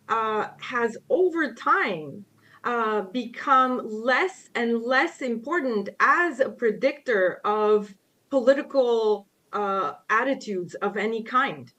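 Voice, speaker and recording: A woman guest lecturer fields questions from the audience in a library event room. She speaks deliberately and unhurriedly, pausing often and filling the gaps with ums, in a voice pitched somewhat high. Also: lively intonation, a bit bright. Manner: measured, with frequent pauses and fillers. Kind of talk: public lecture Q&A.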